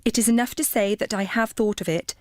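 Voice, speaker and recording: A woman's voice: medium pitch, no room sound, studio quality, lightly acted. Woman playing a sorrowful, resolute young sweetheart. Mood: sad, resolute. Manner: measured, earnest. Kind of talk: period radio drama acting.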